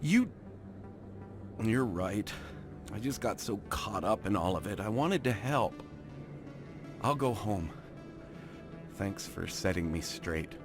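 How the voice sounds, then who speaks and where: A man's voice: lowish voice, lively intonation, slow pace, long pauses. An actor playing an eager, naive male hero-worshipper in a game, earnest and boyish in manner. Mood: crestfallen, humbled. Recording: game music behind the voice.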